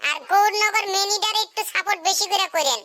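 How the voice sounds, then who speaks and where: A man voicing a cheeky young village bachelor in a comedy cartoon, with a northern Bangladeshi accent, a pitched-up effect and a teasing, complaining manner. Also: fast talker, pushed and bright. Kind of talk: comic cartoon dialogue.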